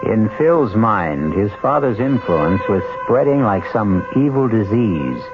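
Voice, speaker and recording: A man narrating a radio drama as an ominous, measured storyteller host, in a scripted dramatic style. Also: lowish voice, very animated. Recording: narrow-band old radio recording with music.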